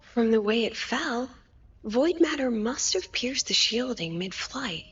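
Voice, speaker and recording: A woman voicing a weary, gentle, brooding scientist in a video game, soft, breathy and pensive. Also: fairly high pitch, expressive, measured pace, muffled recording. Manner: subdued, pensive. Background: game music.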